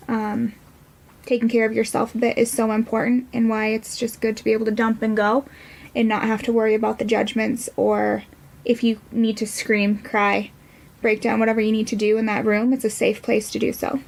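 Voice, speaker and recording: Female podcast guest. Plain-spoken and informal, gravelly fry, little pitch movement. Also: level tone, moderate pace. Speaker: podcast guest from a support non-profit. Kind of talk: conversational interview talk.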